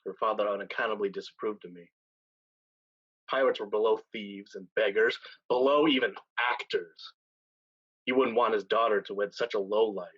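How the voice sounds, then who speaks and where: A man acting a seasoned, weary pirate captain in a staged play reading, speaking in a measured, deliberate way with dramatic pauses. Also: lowish voice, fairly even intonation, a bit bright, husky, muffled recording. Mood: rueful storytelling. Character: seasoned male pirate captain, weary, solemn, tender, once brash.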